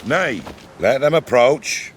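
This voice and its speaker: A man's voice: mid-range voice, expressive, slow pace, slight background noise. A man playing a devout, firm old peasant guarding a gate in a video game, with folksy rustic speech. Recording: faint music behind the voice.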